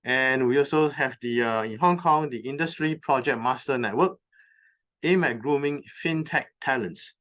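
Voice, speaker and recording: Man presenting, halting with many fillers. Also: mid-range voice, a bit bright. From recently moved from North America. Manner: halting, many "uh" fillers, explains terms step by step. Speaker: conference speaker. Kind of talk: presentation talk. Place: conference venue.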